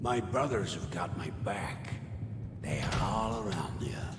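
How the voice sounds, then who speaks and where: An actor playing a menacing underhive gang thug in a game cutscene, speaking in threats. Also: male voice, low voice, lively intonation, a little slow, heavy background noise. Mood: defiant. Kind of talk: video game cutscene acting.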